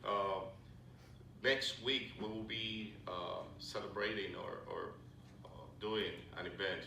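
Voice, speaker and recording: A middle-aged man with a Puerto Rican accent giving a friendly, halting talk to a club, with many fillers and restarts. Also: fairly low pitch, steady tone, vocal fry, far from the mic. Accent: Puerto Rican Spanish. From Puerto Rico. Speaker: Salvation Army officer speaking to a club. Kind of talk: informal presentation to a luncheon audience. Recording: echoey hall recorded from afar, background noise.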